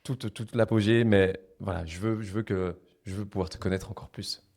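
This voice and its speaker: A man's voice: on the low side, steady tone, moderate pace, gravelly, a little mellow. A man hosting a podcast interview in casual chat, hesitant and often restarting his phrases. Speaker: podcast host.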